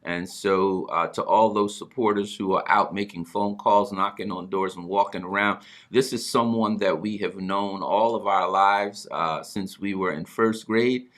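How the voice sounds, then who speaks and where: A man's voice: sits low, fairly even intonation, measured pace, few pauses, fairly bright tone. A middle-aged man hosting a talk show, speaking conversationally and earnestly, often repeating words as he goes. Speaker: broadcast host.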